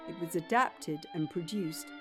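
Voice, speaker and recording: A woman's voice: low voice, unhurried, over music. A woman reading scripted closing credits as the announcer of an audio drama. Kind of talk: scripted narration.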